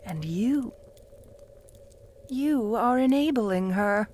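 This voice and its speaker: Woman playing a slow, whispering possessive vampire. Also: a little high, animated, full character voice. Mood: jealous, possessive. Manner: slow, purring, often dropping to a whisper; taunting, with soft mocking laughs. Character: possessive jealous female vampire, cruel, mocking. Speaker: voice actor in audio roleplay. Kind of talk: audio roleplay addressed to the listener.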